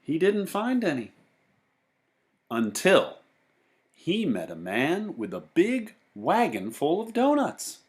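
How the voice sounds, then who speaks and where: Male storyteller for picture books, slow and deliberate, widely varied pitch. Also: huge pitch swings. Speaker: children's book reader. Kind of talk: picture-book read-aloud with casual asides.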